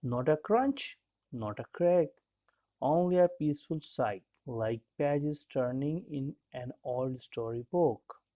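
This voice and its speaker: A narrator telling a bedtime story in a calm, gentle, unhurried manner. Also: medium pitch. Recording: dull lo-fi sound.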